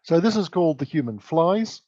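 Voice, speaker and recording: A man's voice: a little high, expressive, normal speed, mellow. A man giving an instructive, emphatic conference keynote presentation. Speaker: keynote speaker. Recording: dull lo-fi sound.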